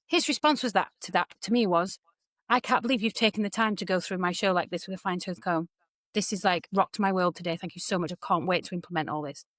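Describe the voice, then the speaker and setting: Woman, 40s, from the UK, entertainer, candid, animated storytelling, dry close recording. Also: lowish voice, fast, dry recording. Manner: candid and animated, quotes others in lively voices. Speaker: guest entertainer and talent mentor. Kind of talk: candid podcast interview.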